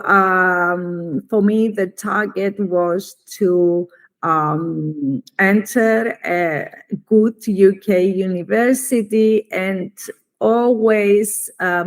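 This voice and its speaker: Middle-aged greek cypriot lady, academic guest in an interview, really slow careful talker, pauses a lot. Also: mid-range voice, steady tone. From Cyprus. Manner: measured, deliberate, formal, with frequent pauses. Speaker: economics professor, interview guest. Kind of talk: reflective academic interview answer.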